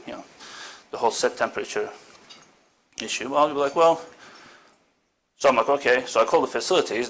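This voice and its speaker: A man's voice: medium pitch, gravelly, a bit bright, poor audio. A middle-aged man from Turkey giving an informal academic seminar talk, hesitant with many fillers and self-deprecating asides. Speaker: seminar speaker, senior lecturer. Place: seminar room.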